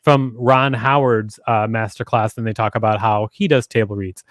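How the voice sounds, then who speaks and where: A man's voice: lowish voice, fairly even intonation, moderate pace, no echo, studio quality. A man in middle age hosting a podcast, explaining things conversationally and rephrasing his points as he goes. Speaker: podcast host. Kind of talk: conversational instructional podcast talk. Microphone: close studio microphone with foam windscreen, headset on.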